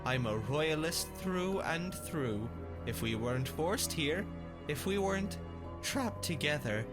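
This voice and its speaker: An actor playing a sly servant of a count in a dark fantasy drama, mock-humble and insinuating. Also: male voice, fairly high pitch, expressive, slow. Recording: loud music behind the voice. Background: music.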